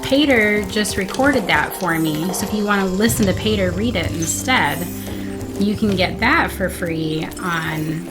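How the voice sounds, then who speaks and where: A woman's voice: mid-range voice, expressive, measured pace. A woman chatting casually as a livestream guest, unassuming and matter-of-fact. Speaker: writer and podcast host, livestream guest. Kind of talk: casual chat. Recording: loud music behind the voice. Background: loud video game music.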